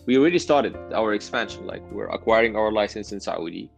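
A man's voice: on the low side, animated, brisk, vocal fry, faint music behind. A man answering interview questions as a startup founder, hesitant and often repeating words as he restarts.

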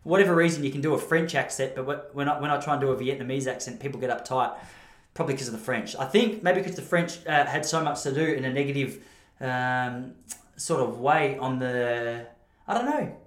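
A man's voice: medium pitch, fairly quick. An Australian man hosting a podcast, telling comic stories in a rambling, self-interrupting monologue. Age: adult, married. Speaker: podcast host. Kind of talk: comic storytelling monologue to camera. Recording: roomy, echoing room sound.

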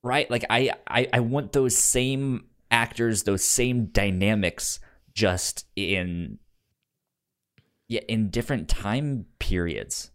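A man co-hosting a podcast, chatting casually about TV shows in a halting way, often repeating the starts of words. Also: lowish voice, fairly bright tone. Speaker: podcast co-host.

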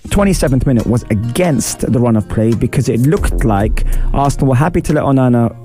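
A radio host with a husky, dark and mellow voice talks through a football match in a loose, chatty way. He gives listeners shout-outs and then settles into a casual breakdown of the game, with faint music playing underneath. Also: medium pitch, animated, a little fast. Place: radio studio.

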